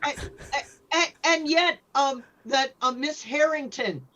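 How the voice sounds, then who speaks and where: A woman's voice: high voice, slow, bright, forceful tone. A woman moderating a casual panel discussion in an informal, conversational way.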